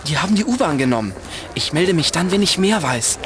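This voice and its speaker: A man playing a plucky, eager boy hero in a children's audio drama, with an excited, slangy way of talking. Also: fairly high pitch, brisk, background noise. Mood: determined.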